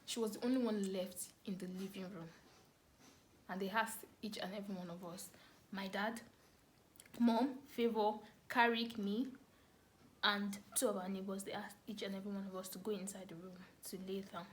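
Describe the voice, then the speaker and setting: A woman's voice: mid-range voice, level tone, normal speed, slight background noise. A young woman with a Nigerian accent telling a personal story casually and expressively to camera, repeating phrases for emphasis. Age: young adult. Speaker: vlogger, storyteller.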